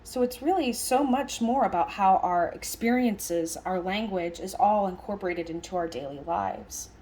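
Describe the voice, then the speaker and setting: A woman voicing a lecture as an interpreter, conversational, speaking in halting phrases with stress on key words. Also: medium pitch, a little mellow. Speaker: sign language interpreter voicing a signed lecture.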